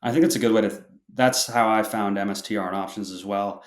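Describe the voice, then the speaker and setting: Deep-voiced young man in a roomy space. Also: steady tone, fast. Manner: explanatory, with frequent restarts. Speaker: podcast guest. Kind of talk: interview answers.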